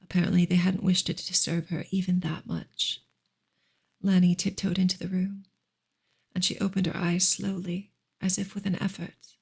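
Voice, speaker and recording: A woman reading a novel softly in ASMR style, gentle and unhurried, often slipping into a whisper. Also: fairly low pitch, level tone. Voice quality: soft, breathy, often dropping into a whisper. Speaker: ASMR reader and streamer.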